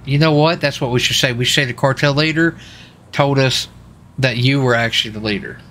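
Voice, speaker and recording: A man's voice: mid-range voice, brisk, a bit bright, a little hiss or hum. An American man talking casually in a folksy, slangy way as he streams a police roleplay game.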